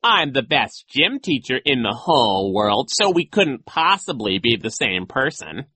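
Gloating storybook villain, super slow n theatrical, sly, pitched up and swoopy. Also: male voice, very animated, sharp tone, breathy edge, dry recording, full character voice. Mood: smug, mocking. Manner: slow, drawn-out, theatrical delivery. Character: sly gloating villain, disguised as a gym teacher.

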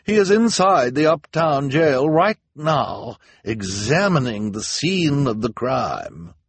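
A man's voice: mid-range voice, sing-song, takes it slowly, slightly rough, no room sound, voice pushed up, full character voice. A man voicing a bossy, pompous policewoman in a storybook, with a grand, declamatory manner. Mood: grand, official.

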